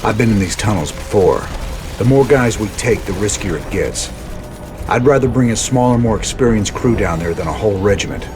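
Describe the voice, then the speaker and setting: An actor playing a weary, resolute rebel commander, laconic and folksy, in a video game. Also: male voice, fairly low pitch, fairly quick, noisy background, natural voice. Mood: firm, wry. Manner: laconic, folksy, steady authority. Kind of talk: dramatic video game acting.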